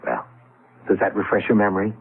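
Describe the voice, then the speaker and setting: An actor playing a disillusioned, sardonic older business partner in 1970s radio drama, scornful and emphatic. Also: male voice, lowish voice, a little fast, vocal fry, breathy edge. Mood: accusing. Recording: muffled vintage radio broadcast.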